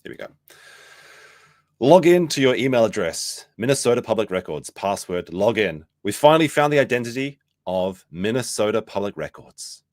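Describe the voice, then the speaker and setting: A man with a slightly hoarse voice hosting a livestream, reading posts aloud and reacting casually in a rambling, wry way. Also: on the low side, big pitch swings, moderate pace, darkish tone, no room sound, studio quality. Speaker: livestream host.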